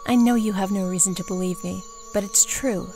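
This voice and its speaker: An actress playing a gentle, earnest dye maker who gathers plants, speaking politely in a fantasy audio drama. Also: female voice, mid-range voice, fairly even intonation, fairly quick, faint music behind, close to an everyday voice. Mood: earnest reassurance. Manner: polite, admiring, reassuring.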